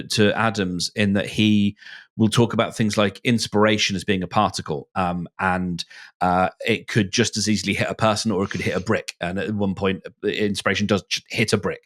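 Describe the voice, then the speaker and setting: A man with a lowish voice speaks as a guest on a discussion podcast. He is reflective and halting, repeating phrases as he works through each thought.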